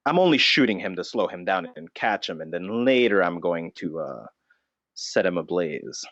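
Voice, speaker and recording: Casting: male improviser as a deep-voiced, deadpan vigilante notebook in bat disguise, cruel and self-serious, comedy podcast, slightly dull sound. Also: moderate pace, no room sound, full character voice. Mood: grimly menacing. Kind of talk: improvised mock interview.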